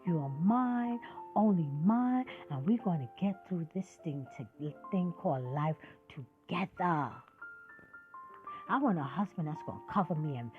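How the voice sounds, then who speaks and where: A woman's voice: sits low, narrow, tinny audio. A sixty-year-old woman hosting her own podcast, speaking in a passionate, emphatic monologue that rises and drops as she preaches her point. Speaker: podcast host.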